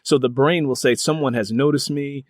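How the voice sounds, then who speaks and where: Male interviewer, middle-aged, American accent. Deliberate, conversational, medium pitch, dry recording. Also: fairly even intonation, no room sound. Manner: deliberate, rephrases his questions. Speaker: interviewer and host.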